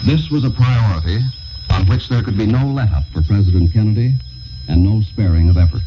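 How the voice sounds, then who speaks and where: A man reading a solemn, measured, formal editorial in the style of a 1960s radio broadcast, over shortwave. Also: fairly low pitch, lo-fi sound. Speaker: radio commentator and announcer. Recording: noisy, band-limited 1960s shortwave radio recording. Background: loud shortwave static and noise.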